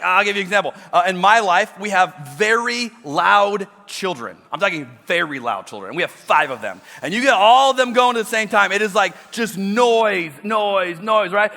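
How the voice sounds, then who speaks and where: A middle-aged male pastor with a loud voice preaching a sermon, swinging from loud emphasis to quiet, intimate asides. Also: sits high, vocal fry. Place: large church auditorium. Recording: reverberant hall with faint music behind.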